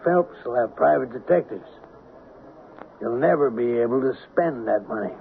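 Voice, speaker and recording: Shrewd country investigator, plain talking, applies pressure, old radio play, tinny with some hiss. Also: male voice, mid-range voice, expressive, normal speed, vocal fry. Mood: persuasive. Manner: plain-spoken, folksy. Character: folksy, shrewd male small-town investigator, calmly pressuring. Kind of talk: old-time radio drama acting.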